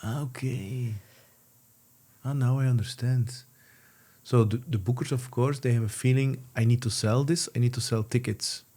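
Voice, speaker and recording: Halting mellow-voiced man hosting an interview. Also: mid-range voice, fairly even intonation. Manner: halting, many restarts and fillers. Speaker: podcast host. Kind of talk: casual interview.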